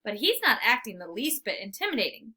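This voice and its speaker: A woman reading a children's storybook aloud in an expressive, theatrical way. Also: on the high side, fairly quick, slightly rough. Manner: animated, theatrical. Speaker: storybook reader. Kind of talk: expressive read-aloud narration.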